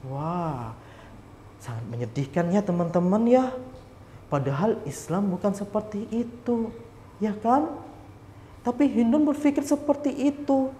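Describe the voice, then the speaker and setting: Slow, echoey male children's storyteller. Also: fairly high pitch, a little hiss or hum. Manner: slow, lively, quizzing the children. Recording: echoey hall with faint ambience.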